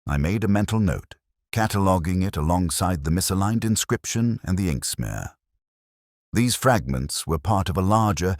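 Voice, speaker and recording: A man playing a meticulous, reflective detective, narrating his mystery in measured, deliberate, formal literary phrasing. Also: deep voice, dry recording, studio quality. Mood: brooding, reflective. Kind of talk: scripted first-person mystery narration.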